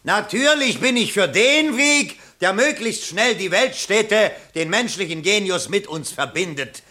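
An actor in a 1970s German radio play, playing a pompous yet anxious election candidate with theatrical oratory. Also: male voice, high voice, lively intonation, a little slow, sharp tone, full character voice. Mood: grandiose. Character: pompous, anxious male election candidate rehearsing and declaiming speeches.

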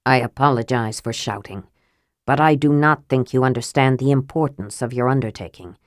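A man's voice: mid-range voice, normal speed, no room sound, clean studio sound, pitched below the performer's own voice, natural voice. A woman narrator playing a proud, earnest male knight in a fantasy audiobook, urgent and formal. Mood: earnest persuasion.

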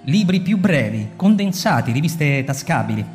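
An actor playing a cold, persuasive male fire captain lecturing a subordinate in a radio drama, smooth and insistent, sometimes hushed. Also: a little high, sing-song, normal speed, big-room sound, soft background music.

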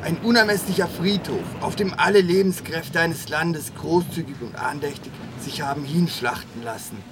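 A man acts a fervent nineteenth-century revolutionary in a homemade radio drama. His voice is high for a man, and he declaims solemnly in slogans. Clear outdoor traffic noise sits behind him. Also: moderate pace, close to an everyday voice. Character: fervent 19th-century anarchist revolutionary rallying his comrade. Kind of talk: amateur radio play, declamatory speech. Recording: noisy outdoor street ambience.

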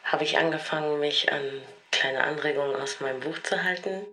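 A woman's voice: sits low, fairly quick, sharp tone, no room sound. A woman thinking aloud about her own experience in an unscripted, thoughtful audio diary style. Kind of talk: spoken personal reflection, audio diary.

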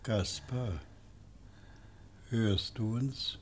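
An actor playing an uneasy, earnest householder in a 1950s German radio drama, speaking in a subdued, troubled way. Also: male voice, lowish voice, extremely slow. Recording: old radio recording, faint noise.

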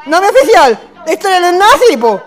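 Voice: very high voice, very animated, quick pace, faint music behind. A performer voicing an accused young man with a Chilean accent, whining and defensive, protesting innocence in a radio drama. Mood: pleading denial.

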